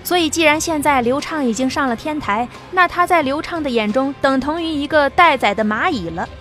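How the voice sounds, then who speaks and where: A woman narrates an audio drama in a steady storytelling voice. Her pitch sits high. Faint music plays beneath her. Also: brisk.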